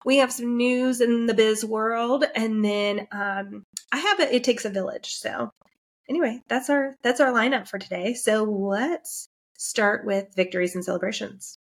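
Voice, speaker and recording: A woman's voice: on the high side, measured pace. Congested, nasal woman co-host. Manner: upbeat, runs the agenda. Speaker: podcast co-host. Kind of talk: casual chat.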